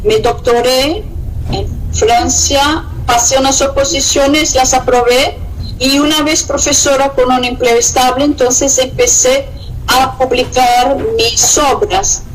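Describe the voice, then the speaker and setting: A middle-aged Brazilian woman speaking with a Brazilian Portuguese accent, her voice sitting a little high. She talks very slowly, pausing often as she reflects. A faint hiss of noise runs under her voice. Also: steady tone, poor audio. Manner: slow and reflective, listing works with many pauses. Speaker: writer. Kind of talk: interview answers.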